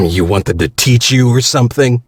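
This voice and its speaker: An actor playing an easygoing, chatty worker in a video game, with a folksy, casual manner. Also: male voice, on the low side. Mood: chatty, mildly grumbling.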